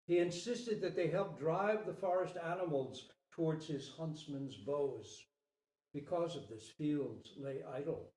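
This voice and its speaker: A man giving a dramatic storybook reading in a theatrical, deliberate manner. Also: a little high, mellow. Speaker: storyteller, Zen teacher. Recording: roomy, distant microphone.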